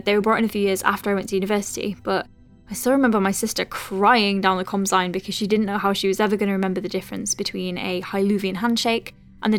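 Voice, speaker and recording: A woman acts as a conscientious female doctor in an audio drama, recording case notes at a medium pitch. She moves between clinical dictation and gentle, chatty asides, with music underneath. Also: close to an everyday voice. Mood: chatty, professional. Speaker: lead character, physician.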